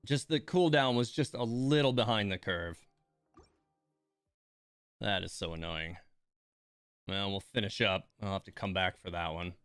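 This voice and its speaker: A man's voice: on the low side, unhurried, pauses often, a bit bright, smooth, no echo, studio quality, soft background music. Man casually muttering through live gameplay. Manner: mutters complaints and self-coaching at the game. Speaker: game livestreamer. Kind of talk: casual live gameplay commentary, thinking aloud.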